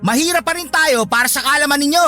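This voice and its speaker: A very high, sharp Filipino voice plays a spoiled, bullying adult child in a radio drama. The delivery is rude, demanding and combative, with faint music underneath. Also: female voice, fast. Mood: defensive, resentful. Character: insolent, lazy adult child bullying their elderly mother.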